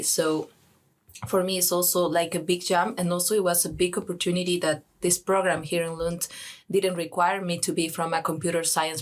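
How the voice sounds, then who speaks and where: A young woman from Peru, a student guest on a podcast, cheerful and laughing often, pausing as she searches for words. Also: on the low side. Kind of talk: casual podcast conversation.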